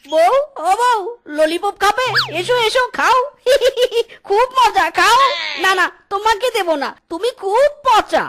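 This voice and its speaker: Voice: extremely high pitch, animated, slow, a bit bright, full character voice. A performer voicing a childish, silly fox husband in a cartoon, whining and pleading in a babyish sing-song way. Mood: silly, playful. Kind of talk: exaggerated cartoon voice acting.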